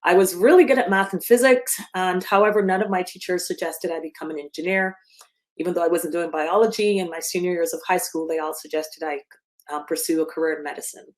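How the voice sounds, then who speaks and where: A woman's voice: lowish voice, fairly even intonation, brisk. A woman in her fifties from Barbados, giving a remote slide presentation with frequent ums and self-corrections. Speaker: guest speaker. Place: speaking remotely from home or office.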